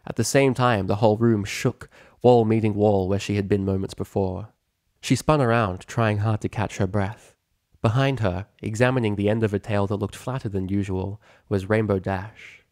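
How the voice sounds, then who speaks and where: A man's voice: fairly low pitch, fairly even intonation, normal speed, a little mellow, no room sound, clean studio sound. A man reading a story aloud as a scripted narrator. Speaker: fan fiction reader.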